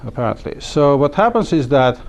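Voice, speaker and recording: A middle-aged man from Armenia teaching a class, talking haltingly with many fillers and restarts. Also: fairly high pitch, very animated, gravelly. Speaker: instructor. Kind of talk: classroom lecture. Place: small classroom.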